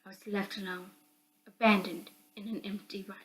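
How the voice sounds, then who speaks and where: A woman's voice: medium pitch, flat delivery, normal speed, fairly bright tone, low-quality recording. A woman reading a visual novel game aloud as dramatic narration. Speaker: host of a visual novel read-through. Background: background music.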